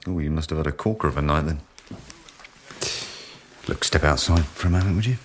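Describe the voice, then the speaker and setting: A man's voice: very deep voice, fairly quick, slight background noise. An actor playing a driven, bossy male project leader in a radio drama, blunt and commanding. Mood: pleading, defensive. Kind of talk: naturalistic radio drama acting.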